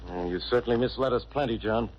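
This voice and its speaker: An actor playing a gruff, impatient police inspector in a blustering 1940s radio style. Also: male voice, medium pitch, quick pace, close to an everyday voice. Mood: gruff, pressing. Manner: blustering, pressing, interrupting. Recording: muffled old radio recording, faint noise.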